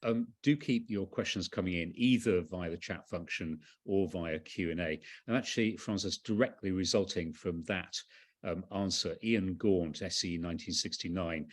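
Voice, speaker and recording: Very deep-voiced male moderator. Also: moderate pace. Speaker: moderator, college master. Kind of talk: reading audience questions.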